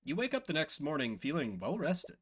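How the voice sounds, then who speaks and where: Man narrating a game with lively pitch. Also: a little fast, slightly rough. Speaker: streamer, solo voice performer.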